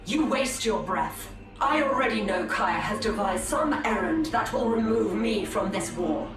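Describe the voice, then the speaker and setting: A woman's voice: medium pitch, lively intonation, measured pace, reverberant, music in the background, natural voice. An actress playing a proud, defiant female elf warrior with a cold, scornful tone.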